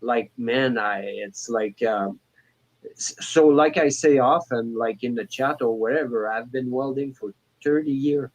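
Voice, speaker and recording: A man in his forties calling in to a talk show, speaking in a halting way with many restarts and fillers. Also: medium pitch, muffled recording. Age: 40s. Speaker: caller. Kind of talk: casual call-in chat.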